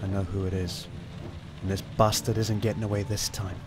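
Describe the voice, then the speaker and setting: A man playing a grim, determined detective inspector in a noir radio play, with a deadpan delivery. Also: low-pitched, a little fast, background noise, lightly acted. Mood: grim resolve. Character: brooding, determined male detective inspector narrating his bleak story. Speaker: actor in amateur college radio play.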